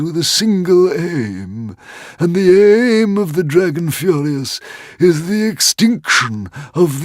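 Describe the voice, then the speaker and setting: A man doing a squeaky, panicky little dragon. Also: darkish tone, breathy edge, no echo, clean studio sound, exaggerated voice. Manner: squeaky, high and panicky, drawn-out urgent pleas. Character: small anxious male dragon, squeaky and fretful. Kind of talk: audiobook character voice.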